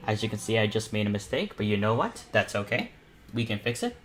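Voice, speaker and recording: A man's voice: fairly low pitch, sing-song, fairly quick, slight background noise. A young man talking casually through a live art tutorial, rambling a little and repeating himself in an informal, encouraging way. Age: teenager or young adult. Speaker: painting panel host and self-taught artist. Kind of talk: casual live tutorial commentary while painting.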